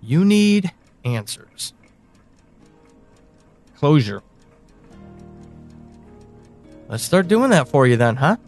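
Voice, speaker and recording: A man playing a teasing, evasive confidant in an audio drama, speaking slowly and deliberately with dramatic pauses. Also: a little high, very animated, darkish tone, faint music behind, heavily acted. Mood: taunting, ominous. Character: teasing, evasive confidant guiding someone through dark memories. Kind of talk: audio drama acting, intimate monologue and dialogue.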